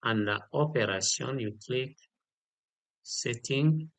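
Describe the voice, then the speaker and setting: A man giving an unscripted step-by-step software tutorial, repeating key details and often checking in with a short okay. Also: mid-range voice, takes it slowly, dry recording. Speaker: tutorial instructor.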